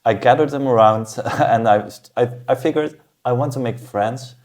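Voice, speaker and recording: A man from Germany, a podcast guest, talking about his path in a steady, thoughtful way with frequent "uh" fillers. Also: mid-range voice, normal speed. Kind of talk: casual interview talk.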